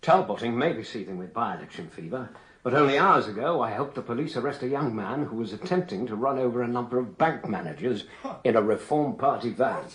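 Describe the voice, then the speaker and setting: A man playing a dry, sceptical English radio crime reporter in a radio drama, speaking in a measured way. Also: medium pitch, animated, fairly bright tone, lightly acted, poor audio. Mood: measured reporting. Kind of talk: radio drama acting, news report delivery.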